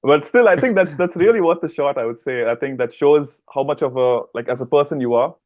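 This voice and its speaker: A man's voice: fairly high pitch, a little fast, dry recording, phone-quality sound. A man hosting a podcast, laughing often and asking long, rambling questions. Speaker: podcast host. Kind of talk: casual interview questions.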